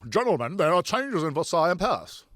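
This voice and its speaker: Guy doing a pompous little fat gentleman in an audiobook, fretting about money, raised pitch. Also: big pitch swings, fast talker, no room sound, studio quality. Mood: worried. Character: short, stout, worried gentleman investor. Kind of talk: audiobook character dialogue.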